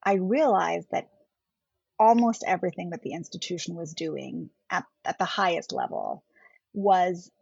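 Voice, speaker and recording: A middle-aged woman whose first language is German, speaking as a political scientist guest on a podcast in a thoughtful, measured way. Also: lowish voice, creaky, a little mellow, breathy edge, no room sound. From India. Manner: thoughtful, measured, often rephrasing. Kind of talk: podcast interview. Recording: dull lo-fi podcast audio.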